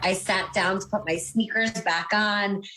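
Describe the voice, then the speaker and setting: A middle-aged woman, hoarse from screaming, recounting a frightening encounter in a news interview. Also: mid-range voice, fairly even intonation, measured pace, pushed and bright, slight background noise. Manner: shaken, recounting an ordeal.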